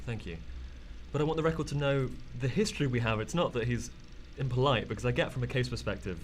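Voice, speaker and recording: A man's voice: medium pitch, quick pace, slight background noise, natural voice. An actor playing a resentful man being questioned as a murder suspect, speaking hesitantly and defensively in an amateur radio drama.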